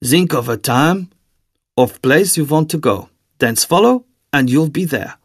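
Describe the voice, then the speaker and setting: A man voicing a tall, sly villain in an audiobook, with a soft German accent and a coaxing, theatrical, deliberately courteous delivery. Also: fairly high pitch, animated, breathy edge, no echo, studio quality. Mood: grandiose, enticing.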